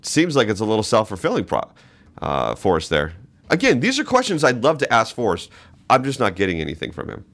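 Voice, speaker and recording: A man's voice: fairly low pitch, huge pitch swings, fairly quick, fairly bright tone. A man hosting a podcast, giving political commentary in an emphatic way and repeating phrases for stress. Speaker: podcast host.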